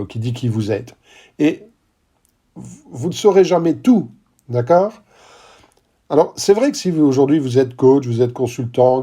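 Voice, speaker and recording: A man's voice: medium pitch, dark tone, slightly rough. A middle-aged man hosting a podcast monologue as a coach, talking straight to listeners, emphatic and repeating key phrases. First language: not English. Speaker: podcast host and business coach.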